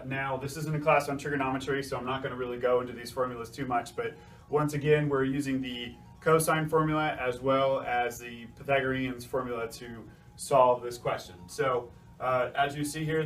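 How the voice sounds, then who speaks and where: A man's voice: mid-range voice, fairly even intonation, normal speed, faint music behind. Man explaining, distant mic, frequent ums. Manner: hesitant, frequent uh and um between phrases. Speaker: technical presenter from a design services company. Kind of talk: informal explainer walking through a worked calculation. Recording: distant mic, faint room noise.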